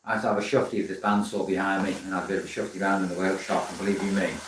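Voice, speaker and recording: Deep-voiced chatty Welsh-born man in a workshop. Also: flat delivery, rapid-fire, slight background noise. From Wales. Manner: chatty, rambling, self-deprecating. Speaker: woodturner hosting a live stream. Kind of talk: live workshop demonstration chat. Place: woodworking workshop. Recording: echoey workshop, distant mic.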